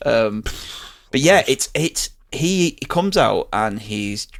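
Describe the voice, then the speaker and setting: A man's voice: medium pitch, very animated, takes it slowly, creaky, no echo, clean studio sound. A man talking casually and enthusiastically as a podcast host reviewing a show, swearing freely.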